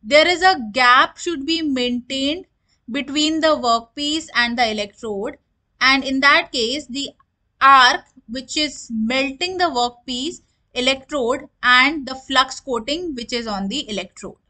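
A woman's voice: high voice, slow pace, bright, forceful tone. A woman giving a technical lecture over slides, explaining each term and then restating it in simpler words.

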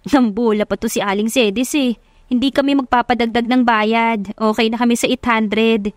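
A woman's voice: on the high side, steady tone, normal speed, no echo, studio quality, close to an everyday voice. A woman voicing a teasing, chatty young best friend with a playful, slangy, bantering manner in an audio love story. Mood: joking.

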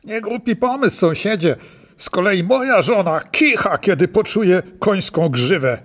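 A man's voice: sits high, lively intonation, unhurried. A man playing an agreeable village blacksmith in an audio story, speaking in a neighbourly, conspiratorial way. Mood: agreeable, scheming.

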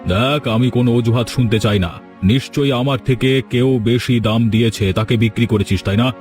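A man's voice: mid-range voice, normal speed, a little mellow, natural voice. A man voicing a proud, domineering village landlord in a cartoon fairy tale, scolding and threatening. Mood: angry, demanding. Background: music.

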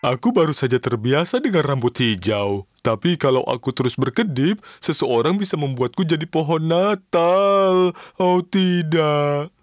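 A man voicing an excitable, good-natured cartoon cook in a children's show, with exaggerated, drawn-out exclamations. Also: on the high side. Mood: dismayed.

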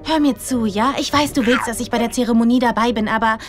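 An actress playing a headstrong young mermaid princess and surfer in an animated film, earnest and youthful. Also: female voice, fairly high pitch, quick pace, music in the background, natural voice. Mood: pleading, frustrated.

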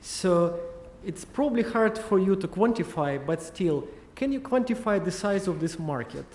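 A man's voice: sits high, lively intonation, moderate pace, darkish tone, a little hiss or hum. Hesitant man asking from a hall. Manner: hesitant, repeats himself. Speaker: audience member asking a question. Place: large conference hall, aisle microphone. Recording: echoey hall ambience.

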